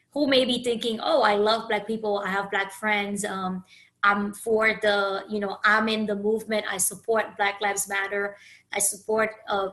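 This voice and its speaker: A woman who grew up in Haiti, hosting her own podcast, talking through her thoughts in an earnest, searching way with many restarts and pauses. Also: mid-range voice, sharp tone, poor audio. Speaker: podcast host and coach. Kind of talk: reflective solo podcast monologue.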